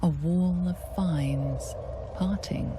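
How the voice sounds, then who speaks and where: A woman narrating a fantasy video game in a measured, hushed, dramatic storytelling style. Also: lowish voice, music in the background. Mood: hushed, grave.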